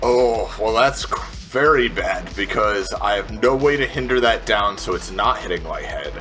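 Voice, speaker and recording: A man chatting casually as a tabletop game player, thinking aloud through his choices in a halting way. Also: on the low side, lively intonation, bright, forceful tone. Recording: music playing behind the voice. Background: music.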